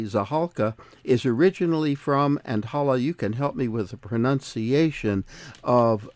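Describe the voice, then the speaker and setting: A man hosting a panel discussion, introducing topics and guests in a measured, formal way. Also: mid-range voice, darkish tone, no room sound. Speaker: host.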